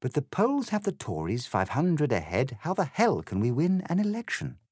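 Anguished storybook politician played by a male reader: blunt, pleading, exasperated, pitched high, very animated. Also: normal speed, husky, no echo, clean studio sound, exaggerated voice.

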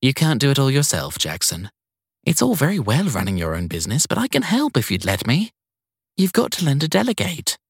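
A man voicing a caring, insistent wife with a gently chiding, concerned manner in an audiobook. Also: medium pitch, wildly swooping pitch, fairly quick, vocal fry, husky, dry recording, studio quality, voice pushed up, exaggerated voice. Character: caring, insistent wife on a late-night call. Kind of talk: audiobook character dialogue.

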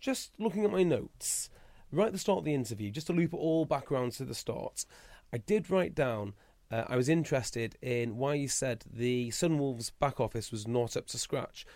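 A man hosting an interview podcast, asking measured follow-up questions. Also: mid-range voice, lively intonation, darkish tone, dry recording. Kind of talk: conversational interview.

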